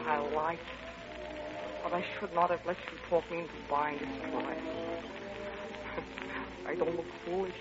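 An actress playing an earnest, deadpan Soviet woman envoy who softens into romance, in an old-time radio drama. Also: fairly low pitch, measured pace, pauses often, loud music behind the voice, natural voice. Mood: wistful. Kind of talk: 1940s-style radio play acting. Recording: muffled, noisy old radio broadcast.

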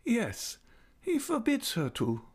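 A man's voice: very high-pitched, huge pitch swings, slow, breathy edge, pitched above the performer's own voice, heavily acted. A man voicing a cheerful, childlike old woman who is frail and bedridden, talking gaily and coaxingly.

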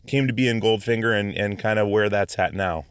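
A man hosting a podcast in a relaxed, casual way, with lots of fillers and restarts. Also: on the low side, a little fast, smooth, no room sound, studio quality. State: hoarse after stomach flu. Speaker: podcast host. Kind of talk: casual podcast intro and interview.

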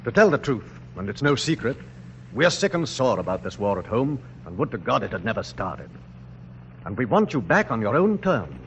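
Urbane weary general, dry humor, genteel manner, old radio drama, dull lo-fi recording. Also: male voice, medium pitch, very animated, normal speed, slight background noise. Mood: weary, candid. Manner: genteel, droll. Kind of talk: 1940s-50s radio drama acting.

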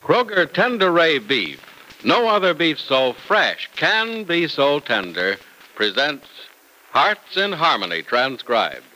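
A man announcing in a polished, emphatic 1950s radio style, as narrator and pitchman. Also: sharp tone, low-quality recording.

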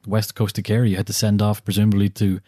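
Irish man, low-voiced, rapid, wry podcast co-host, dry booth sound. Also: completely flat delivery, warm tone, dry recording. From Ireland. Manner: quick, wry and opinionated, chuckling. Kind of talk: casual chat.

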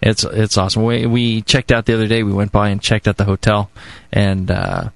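A man's voice: on the low side, little pitch movement, a little fast, gravelly, no room sound. A tired Californian man co-hosting a homebrewing radio show, rambling with many fillers and restarts. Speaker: podcast co-host. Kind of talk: casual radio chat.

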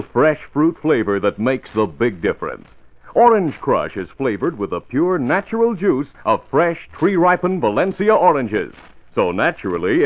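A man announcing and narrating in scripted 1940s radio style. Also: medium pitch. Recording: muffled, phone-like old radio recording.

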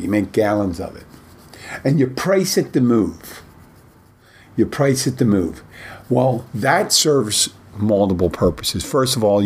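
Husky low-voiced man rambling on a podcast. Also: darkish tone. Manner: rambling, halting, many restarts. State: recovering from a head cold, slightly rough voice. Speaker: podcast host and bartender. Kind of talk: casual solo podcast talk.

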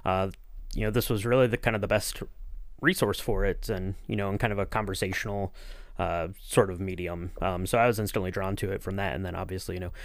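A man's voice: deep voice, no echo. A man co-hosting a podcast, interviewing in a casual, agreeable, rambling way. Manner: rambling, agreeable, hedging with "kind of". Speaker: podcast co-host acting as interviewer.

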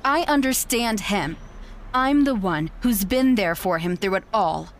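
A woman's voice: mid-range voice, lively intonation, a little slow. An actress playing a cold, manipulative young woman who talks down to her rival in an audio drama. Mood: condescending. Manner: condescending, dismissive.

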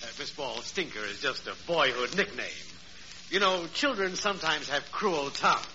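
Man acting as genteel salesman, high voice, very animated, courteous and ingratiating, vintage radio comedy, noisy muffled recording. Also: normal speed, lightly acted. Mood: polite, prim. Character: genteel, polite, smooth-talking salesman, an old friend.

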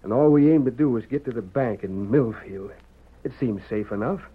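A man's voice: medium pitch, normal speed, husky, lightly acted. An American actor playing an exhausted, dutiful frontier husband and father with a folksy Western drawl, in old-time radio style. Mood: earnest, stubborn. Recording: muffled narrowband vintage radio recording.

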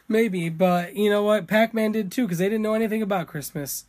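A man co-hosting a casual podcast chat, laughing often and throwing in quick asides. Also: high-pitched, a little mellow, slight background noise. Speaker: podcast co-host.

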